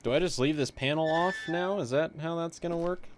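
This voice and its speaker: A man's voice: a little high, brisk, a little hiss or hum. A man giving casual commentary on a game he is playing, rambling as he thinks aloud. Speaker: gaming video host. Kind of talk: casual playthrough commentary mixed with reading game text aloud.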